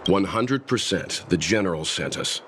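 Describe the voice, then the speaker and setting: A man voicing a calm, mature gentleman traveler in a video game, polite and measured. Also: sits low, slight background noise. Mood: courteous. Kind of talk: video game character acting. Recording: loud game music behind voice. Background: game music.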